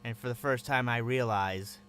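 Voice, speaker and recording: A man's voice: mid-range voice, moderate pace, fairly bright tone, a little hiss or hum. A man narrating in first person as a captive, with a tense, wary inner voice.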